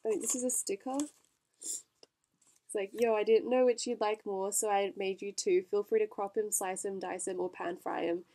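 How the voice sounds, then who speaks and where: A woman's voice: medium pitch, fairly even intonation, moderate pace, dark tone. A young woman vlogger, congested from a cold, chatting bubbly and casually while unboxing packages. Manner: chatty, bubbly, lots of fillers and likes.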